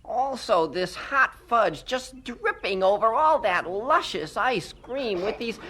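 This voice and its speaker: A woman playing an eager, food-loving young boy with a gushing, excitable manner in a 1970s radio drama. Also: fairly high pitch, slow pace. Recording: old radio drama, faint background noise.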